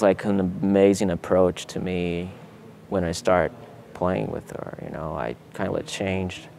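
A young Brazilian man, a native Portuguese speaker with a Brazilian accent, casually answering interview questions in a relaxed way while seated in a theater hall. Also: low-pitched, vocal fry, slight background noise. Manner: relaxed, laid-back, many fillers like "you know" and "man". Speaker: guitarist.

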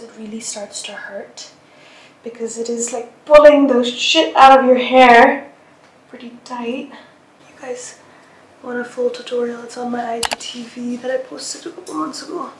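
A woman's voice: fairly high pitch, reverberant, poor audio. A young woman in her twenties chatting casually to a handheld camera in a travel vlog, saying "like" a lot and dropping to a near whisper at times. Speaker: vlogger. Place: hotel bathroom, then mall and food court. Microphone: handheld vlogging camera.

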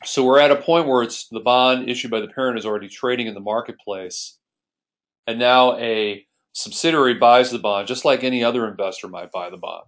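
A man's voice: mid-range voice, fairly quick, a bit bright. An American man giving an explanatory screencast lesson as an accounting instructor, explaining methodically and step by step.

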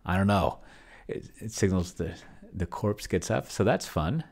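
A man's voice: deep voice, lively intonation, unhurried, darkish tone. A middle-aged man giving casual livestream commentary, rambling and thinking aloud as he types. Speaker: solo role-playing streamer. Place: small cluttered home room, indoors.

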